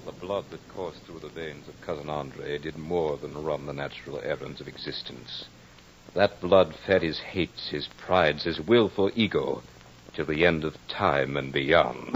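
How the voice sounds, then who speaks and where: Brooding low-voiced courtier, old radio. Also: male voice. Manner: measured, florid, dramatic. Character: brooding aristocratic French courtier recounting a fatal duel. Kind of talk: 1940s radio drama monologue. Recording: muffled old radio recording.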